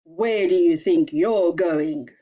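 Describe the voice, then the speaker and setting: A woman growling as a nasty old troll, deep and slow. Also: dark tone. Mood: gruff. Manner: menacing, slow and booming. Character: nasty ugly old male troll under a bridge. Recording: dull lo-fi recording.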